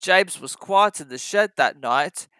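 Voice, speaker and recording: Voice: medium pitch, expressive, measured pace, sharp tone, clean tone, no room sound. A storyteller reading a scripted children's story as narration.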